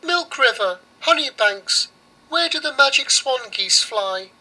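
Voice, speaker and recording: A man's voice: extremely high pitch, expressive, measured pace, pushed and bright, pitched above the performer's own voice, heavily acted, poor audio. A man voicing an innocent, fussy young girl in a storybook, with an insistent childlike manner. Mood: pleading. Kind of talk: storybook character voice.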